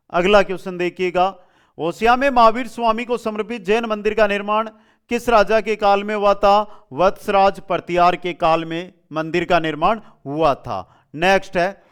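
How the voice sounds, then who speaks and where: Male online coaching teacher, high-pitched and crisp, reading out quiz questions and repeating answers. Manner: repeats questions and answers, prodding students to respond.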